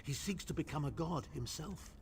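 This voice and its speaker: A haughty sardonic mage. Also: male voice, a little high, animated, moderate pace, creaky, a little hiss or hum. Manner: dry, condescending, clipped. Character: haughty aristocratic male imperial mage, sardonic.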